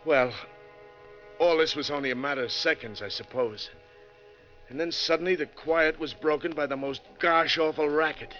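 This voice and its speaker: A man's voice: fairly high pitch, moderate pace, fairly bright tone, natural voice. A man playing a plain-spoken bus driver in a 1940s radio drama, talking in a folksy, colloquial way. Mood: stunned recounting. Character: plain-spoken male bus driver recounting a harrowing trip. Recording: dull 1940s radio recording, music behind.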